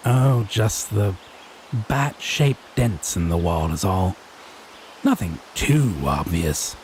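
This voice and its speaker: A man's voice: sits low, animated, extremely slow, long pauses, a little mellow, slight background noise, heavily acted. A man playing a smug, tipsy male fae who teases his lover with mocking, sardonic condescension in a theatrical audio roleplay. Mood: teasing, amused. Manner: drawling, mocking, sardonic, pet names. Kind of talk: slow theatrical audio roleplay monologue addressed to listener.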